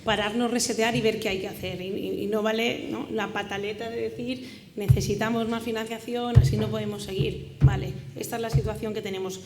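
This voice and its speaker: A woman's voice: medium pitch, fairly even intonation, brisk, music in the background. A woman moderating a panel discussion in a casual, conversational way with fillers. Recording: echoey hall with background noise.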